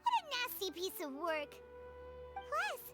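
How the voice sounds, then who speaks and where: A woman voicing a small, chatty, excitable childlike fairy companion in a video game. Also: very high voice, takes it slowly, loud music behind the voice, exaggerated voice. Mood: disgusted.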